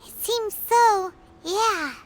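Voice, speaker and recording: Game casting: tiny girl sidekick, extremely high squeaky childlike voice, earnest, chatty, unhurried pace. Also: heavily acted. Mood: hesitant, earnest. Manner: simple words, refers to herself by name. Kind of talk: scripted video game voice acting. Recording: music behind the voice.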